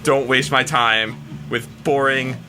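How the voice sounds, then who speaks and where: A man's voice: medium pitch, fairly even intonation, takes it slowly, music in the background, lightly acted. A man voicing a pompous, demanding rock singer in a grandiose, emphatic comic dramatic reading. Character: pompous, demanding, self-important male rock singer writing an ad.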